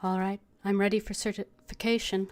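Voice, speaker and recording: Woman gamer with a mid-pitched voice. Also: level tone, a little fast, a little mellow. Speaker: game streamer. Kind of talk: reading out her game character's dialogue.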